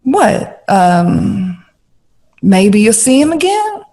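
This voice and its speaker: Casting: glamorous sixties confidante, tender and coaxing, unhurried, slightly husky higher voice. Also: female voice, sing-song, warm tone, heavily acted. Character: glamorous, tender 1960s woman, composer's close confidante. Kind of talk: audio drama acting.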